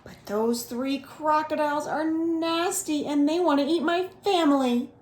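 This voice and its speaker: A woman's voice: sits high, unhurried, slight background noise, voice pushed up. A woman voicing an earnest, plucky young male crocodile raised by ducks, wide-eyed and questioning, for a storybook. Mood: relieved, determined. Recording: roomy echo of a home room.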